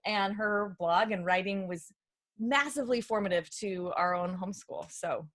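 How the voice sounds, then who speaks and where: A middle-aged woman, telling personal stories as an interview guest in an animated, informal way. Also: mid-range voice, normal speed. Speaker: interview guest, author and podcaster. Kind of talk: casual conversational storytelling.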